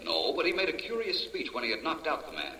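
An urbane American detective from 1940s radio drama, confident and theatrical. His bright, forceful voice swings expressively as he speaks. The recording is echoey, with faint noise. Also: on the high side, wildly swooping pitch, quick pace, heavily acted. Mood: explanatory, assured. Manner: suave, articulate, theatrical. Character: confident, urbane male detective explaining a murder case. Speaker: lead detective.

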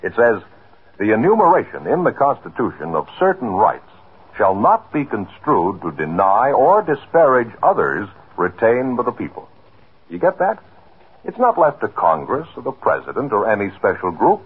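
A man's voice: mid-range voice, huge pitch swings, moderate pace, breathy edge. A man delivering a scripted 1950s radio message in a folksy, explanatory way, talking straight to the listener. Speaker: public service announcer. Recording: muffled narrow-band 1950s radio recording.

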